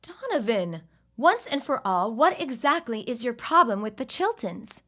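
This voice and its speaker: Woman playing a poised, high-voiced period lady. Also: sing-song, unhurried, pushed and bright, full character voice. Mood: challenging. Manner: formal, firm, precise phrasing. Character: poised, strong-willed, well-to-do 1910s lady. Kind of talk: period audio drama acting.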